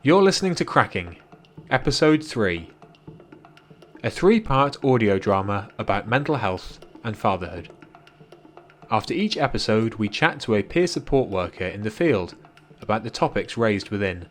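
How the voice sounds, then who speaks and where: Guy doing a scripted intro for a drama podcast, lowish voice, music behind him. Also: very animated, moderate pace.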